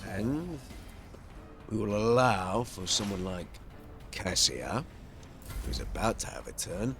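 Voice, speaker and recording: A man's voice: fairly low pitch, animated, slow, fairly bright tone. A man commentating over his playthrough of a strategy game, musing aloud and talking to the characters, with game music behind him. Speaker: gaming channel host.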